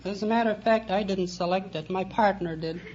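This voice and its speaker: A woman's voice: medium pitch, fairly quick, a little mellow. A woman bantering and answering conversationally as a quiz show contestant. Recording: echoey, very noisy, dull old radio.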